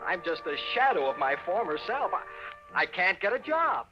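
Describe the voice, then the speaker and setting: A man playing a desperate, haunted young fiancé in an old radio horror-comedy, excitable and exasperated. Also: high voice, normal speed. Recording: muffled vintage radio recording, music behind.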